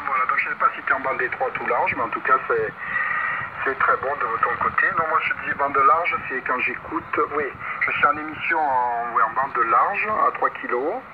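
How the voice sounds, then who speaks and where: A man rambles through a casual ham radio chat, repeating himself as he goes. His voice is mid-pitched and comes through a muffled radio channel. Heavy noise sits all over the signal. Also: normal speed. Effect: radio transmission. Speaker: amateur radio operator. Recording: muffled shortwave radio, heavy static and hum. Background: loud radio noise.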